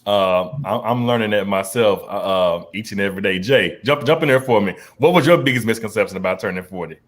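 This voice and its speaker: A man's voice: lowish voice, a little fast. A man in his forties hosting a casual conversation, laid-back and slangy, often repeating words as he talks. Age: 40s. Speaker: podcast host.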